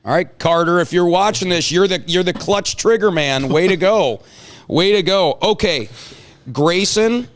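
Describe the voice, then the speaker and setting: A man with a fairly high voice hosts a casual, playful chat. He talks in a mock-dramatic way, hyping up the moment and prompting the others. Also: a little slow. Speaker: host.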